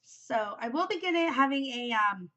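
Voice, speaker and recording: A woman's voice: sits high, expressive, normal speed, fairly bright tone. Woman host, congested and worn voice, chatty and rambling, dull home recording. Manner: rambling, many ums, chatty. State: congested, voice shot from a cold. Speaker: knitting podcast host. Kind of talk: casual solo chat.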